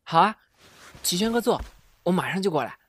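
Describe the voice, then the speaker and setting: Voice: mid-range voice, lively intonation, brisk, fairly bright tone. A performer in a Mandarin audio drama playing an earnest, shy young male rookie singer who is polite and deferential.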